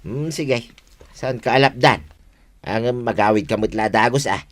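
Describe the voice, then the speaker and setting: A man's voice: mid-range voice, normal speed, close to an everyday voice. A man playing an easygoing farm worker in a comedy radio drama, plain, agreeable and conversational.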